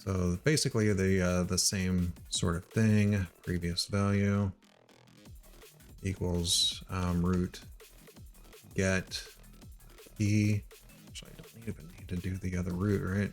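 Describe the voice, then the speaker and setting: A middle-aged man from Missouri thinking aloud while he writes code, halting with many ums and uhs. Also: low-pitched, flat delivery, faint music behind. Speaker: live-coding streamer.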